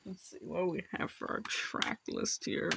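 A vlogger giving casual, rambling unboxing commentary in an offhand, halting way. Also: mid-range voice, wildly swooping pitch, gravelly, very noisy. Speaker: video host showing flea market finds.

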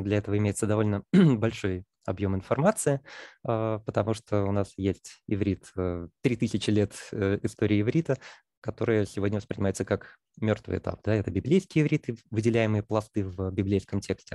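A man's voice: lowish voice, warm tone, no room sound, studio quality. A man giving a lecture on language, talking through his ideas with many fillers and restarts. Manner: thinking aloud with frequent fillers and restarts.

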